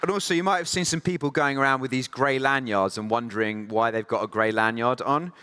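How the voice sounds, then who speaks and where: Bright, clear-voiced male emcee. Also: medium pitch, steady tone, some chatter behind. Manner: friendly, filler-heavy, quickening at times. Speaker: conference emcee. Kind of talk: thank-you speech at a conference. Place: conference hall stage.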